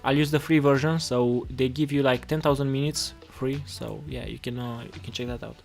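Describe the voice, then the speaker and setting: Man from Romania, casual coding streamer, halting delivery, repeated words, mid-pitched voice, music behind him. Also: steady tone, gravelly, a little mellow. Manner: halting, repeats words while reading chat. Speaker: live-stream host and coding tutor. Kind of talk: casual live coding tutorial with chat replies. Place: indoors at a computer desk. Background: music playing, keyboard typing.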